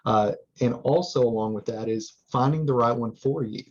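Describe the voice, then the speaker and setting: A man's voice: mid-range voice, moderate pace. Earnest man from Texas, lo-fi sound. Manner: earnest, many fillers and restarts. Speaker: guest, life support and fire safety instructor. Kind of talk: podcast interview, explaining. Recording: dull lo-fi sound.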